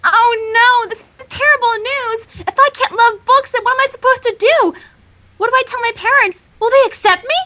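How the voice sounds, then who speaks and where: A woman's voice: very high voice, moderate pace, pushed and bright, pitched above the performer's own voice. A woman voicing a melodramatic, indignant young female pony in a cartoon fan fiction reading, overwrought and accusatory. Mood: anguished.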